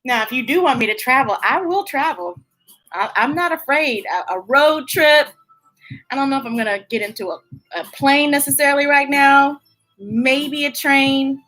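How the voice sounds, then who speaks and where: Bright, high-pitched American woman hosting story time. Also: low-quality recording. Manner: playful, animated, chatty, drawn-out emphasis. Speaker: children's story time host. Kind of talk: casual livestream chat to young viewers.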